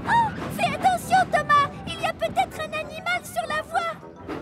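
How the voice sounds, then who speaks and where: Fussy worried cartoon train coach, super high voice, kinda far off w loud music. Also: female voice, fairly even intonation, moderate pace. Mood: anxious. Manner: fretful, exclaiming, questioning. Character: anxious, fussy female talking railway coach. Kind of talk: children's cartoon dub. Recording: distant, loud music behind.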